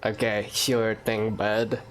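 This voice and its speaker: A woman's voice: extremely low pitch, little pitch movement, slow pace. A woman commenting casually and with amusement while playing a game. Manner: amused, chatty. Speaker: gameplay commentator. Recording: game music behind voice.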